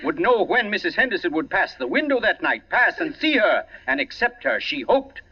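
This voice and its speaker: An actor playing a suave older writer turned detective in a 1940s radio mystery, urbane, pompous and precise with a mocking edge. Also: male voice, high voice, sing-song, normal speed, husky, exaggerated voice. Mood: accusing, triumphant. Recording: dull, lo-fi 1940s radio recording.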